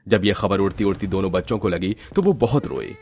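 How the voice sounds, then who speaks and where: A man's voice: low voice, animated, a little fast, a little mellow. A man narrating a scripted cartoon story in storybook fashion.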